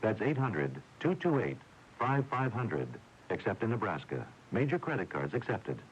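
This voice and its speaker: Low, animated American male radio announcer. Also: moderate pace, lo-fi sound. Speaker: commercial announcer. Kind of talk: scripted radio advertisement. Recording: faintly hissy old radio recording.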